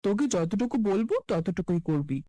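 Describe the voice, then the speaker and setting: A woman voicing a cruel, scolding middle-aged mother in a cartoon fairy tale, speaking with sharp contempt. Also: medium pitch, animated, moderate pace, no echo, studio quality, voice pushed down, close to an everyday voice. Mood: contemptuous.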